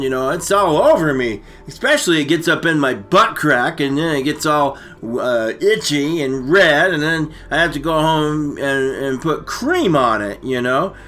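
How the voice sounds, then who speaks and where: A man co-hosting a casual comic movie recap, rambling and halting, full of slang. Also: on the high side, very animated, pushed and bright, faint music behind. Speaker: comedy storytelling co-host.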